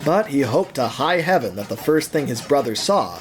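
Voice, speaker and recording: A man narrating a scripted audio story in an expressive storytelling manner. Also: mid-range voice, moderate pace.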